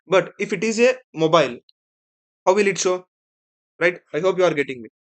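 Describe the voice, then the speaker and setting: A man with an Indian accent and a somewhat high voice works through a screen tutorial. He explains each step as an instructor would. He keeps checking that the listener follows, with small tag words at the ends of his points. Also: animated, a little fast. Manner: explanatory, checks in with "right?" and "okay".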